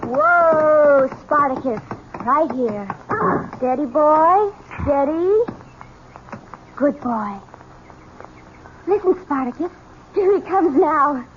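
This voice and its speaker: A woman's voice: sits high, lively intonation, drawn-out words, long pauses, heavily acted. An actress playing a slender young horsewoman, affectionate yet commanding, in a 1970s radio drama. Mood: soothing. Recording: muffled, faintly noisy old radio recording.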